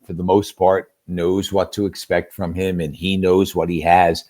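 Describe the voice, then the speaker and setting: A man's voice: sits low, flat delivery, moderate pace, a little mellow. A man giving conversational sports analysis as a guest commentator, talking emphatically and posing rhetorical questions. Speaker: guest sports radio play-by-play announcer.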